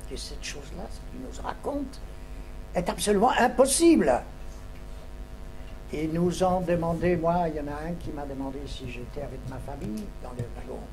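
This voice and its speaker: An elderly man from Poland, a native Yiddish speaker, giving spoken testimony to a school audience in an emphatic, deliberate way. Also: a little high. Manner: emphatic, deliberate storytelling with dramatic stresses. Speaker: Holocaust survivor giving testimony. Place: indoors, classroom. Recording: noisy room recording with hum.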